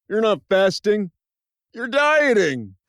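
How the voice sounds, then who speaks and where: A man plays a crude prison bully in an audio drama. His delivery is slow and dry-miked, swinging widely in pitch, brash and confrontational. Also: big pitch swings, a bit bright, no echo, full character voice. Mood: joking. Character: crude, bullying male prisoner and joke-teller.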